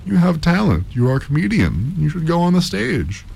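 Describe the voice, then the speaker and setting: A man's voice: on the high side, sing-song, moderate pace, vocal fry, a little mellow, slight background noise, pitched above the performer's own voice. A man voicing a courtly, condescending visiting professor in a story, with formal, florid, patronising politeness. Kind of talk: acted dialogue in a storybook reading.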